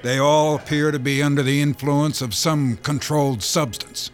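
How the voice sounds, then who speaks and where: A man's voice: on the high side, steady tone, normal speed, natural voice. An actor playing a commanding male police captain who firmly briefs a room of officers. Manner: firm, addressing a room of officers. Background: music.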